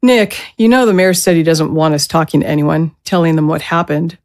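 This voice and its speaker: A woman playing a gentle, protective middle-aged mother in an audio drama, speaking in a soothing, careful way. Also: deep voice, fairly even intonation, a little fast. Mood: gentle, steady.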